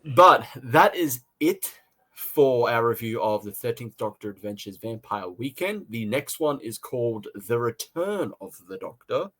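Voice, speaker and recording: A man from Australia co-hosting a casual review chat, talking in a halting way and often repeating words. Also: medium pitch, sing-song, a bit bright. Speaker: podcast co-host and reviewer.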